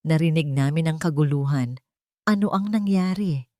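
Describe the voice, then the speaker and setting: A woman's voice: sits low, moderate pace, warm tone, no echo, studio quality, natural voice. A woman voicing a stern older male senator in a drama, authoritative and demanding.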